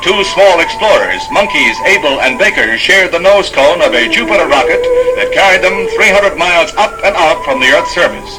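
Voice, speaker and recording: A man's voice: a little high, lively intonation, moderate pace, few pauses, rough recording. An American man reading scripted 1960s newsreel narration in a formal, emphatic announcer delivery. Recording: archival newsreel soundtrack with loud music.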